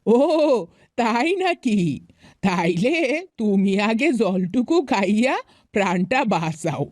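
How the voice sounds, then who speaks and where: Woman voicing a slow-talking kindly old granny. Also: medium pitch, expressive, a little mellow, no echo, studio quality. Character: kindly, lonely old village grandmother in a hut. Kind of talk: cartoon fairy-tale voice acting.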